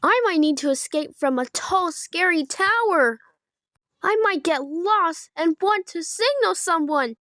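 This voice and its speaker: Lady doing a worried little boy frog for a kids book, high voice, earnest, really up and down. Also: animated, a little slow, a bit bright, no echo, clean studio sound, exaggerated voice. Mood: fretful, earnest. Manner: fretful, earnest. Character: young male baby frog, anxious and clingy.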